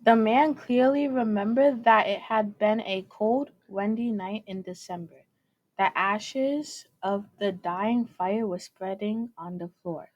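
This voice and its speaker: A woman reading scripted story narration aloud in a halting manner. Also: medium pitch, lo-fi sound. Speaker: student reader.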